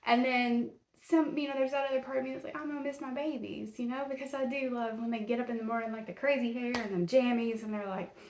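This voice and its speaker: A woman with a Middle Tennessee Southern accent chats through a true crime episode, folksy and rambling, laughing at her own stumbles. Her voice sits a touch high. The recording has a noticeable room echo. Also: steady tone, fast, hardly any pauses, a little mellow. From Tennessee, USA. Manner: folksy, rambling, self-deprecating, many fillers. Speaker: true crime podcast host. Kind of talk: casual chatty podcast. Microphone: camera and mic.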